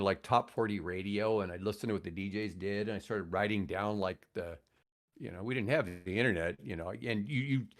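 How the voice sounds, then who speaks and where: A man in his sixties telling stories casually as a podcast guest, rambling and circling back to rephrase. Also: lowish voice. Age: 60s. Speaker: podcast guest, former radio broadcaster. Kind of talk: casual storytelling in a podcast interview.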